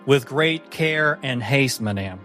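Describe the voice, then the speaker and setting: A tiny adventurer played by a man speaks with eager courtesy and earnest self-importance. He is boyishly polite and proud of himself, a tabletop game figure with soft music underneath. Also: medium pitch, expressive, slow pace. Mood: earnest, chatty.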